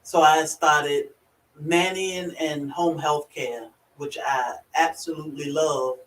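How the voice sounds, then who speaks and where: A woman with a rural Virginia accent hosting a casual livestream, chatty and quick to laugh as she greets viewers by name. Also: low-pitched, fairly even intonation. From Virginia, USA. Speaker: livestream host. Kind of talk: casual live chat. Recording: echoey room, far from the mic.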